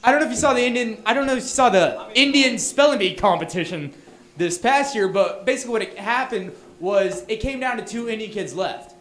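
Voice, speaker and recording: Texan male comic, high voice, punchy and loud, stumbles over restarts, echoey room. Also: expressive, brisk. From Texas, USA. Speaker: stand-up comic. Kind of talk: stand-up set. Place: small bar venue.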